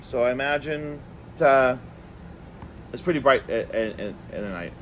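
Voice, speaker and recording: A man giving a casual hands-on product review, talking in a halting way and repeating words as he goes. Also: medium pitch, lively intonation, slight background noise, poor audio.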